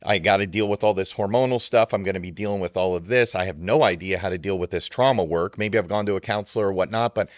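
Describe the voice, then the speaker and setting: Sincere low-voiced man, dry sound. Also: quick pace, few pauses, no echo. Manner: sincere, supportive, repeats words as he starts. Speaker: podcast co-host. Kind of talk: casual podcast chat.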